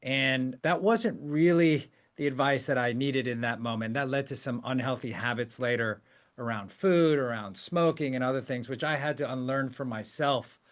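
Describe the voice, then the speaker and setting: A man's voice: mid-range voice, moderate pace. Affirming male interview host. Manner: warm-hearted, affirming, frequent "hmm" and "wow". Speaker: podcast host, company CEO. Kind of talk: conversational interview.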